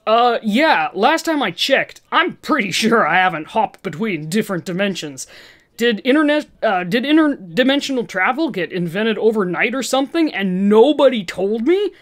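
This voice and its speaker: Woman doing a flippant, animated young male superhero. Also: fairly high pitch, moderate pace. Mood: flippant, feigned amazement. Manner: joking, slightly stammering, inquisitive. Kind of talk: dramatised fan fiction reading.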